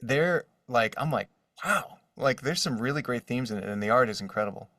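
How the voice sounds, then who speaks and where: A man chatting casually and enthusiastically as an interview guest, in an expressive way. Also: medium pitch, brisk, no room sound, clean studio sound, recorded from a distance. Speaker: guest, voice actor and artist.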